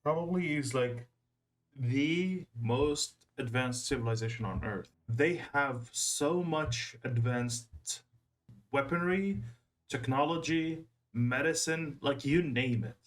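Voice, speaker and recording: A man with a mid-pitched voice gives casual reaction commentary. He rambles off the cuff, halting and circling back over his words as he thinks aloud. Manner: rambling, halting, repeats himself. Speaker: reaction video host.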